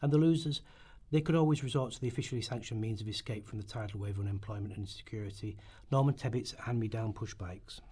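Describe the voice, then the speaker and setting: A man's voice: on the low side, fast, mellow, breathy edge. A man reading a script aloud as a narrator in rehearsal, now and then stumbling over his words. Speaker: radio play narrator in rehearsal.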